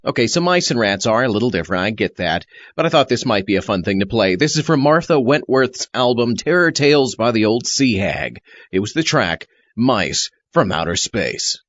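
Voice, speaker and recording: A man's voice: mid-range voice, sing-song, moderate pace, fairly bright tone, muffled recording. A man hosting a show, casually presenting a track to listeners. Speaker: host. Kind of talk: casual scripted show introduction.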